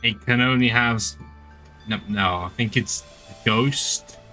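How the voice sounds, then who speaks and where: A man's voice: medium pitch, takes it slowly, bright, forceful tone, soft background music, low-quality recording. A man streaming a video game with casual commentary, sounding exasperated, sardonic and nitpicking. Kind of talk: casual game commentary with dialogue read aloud.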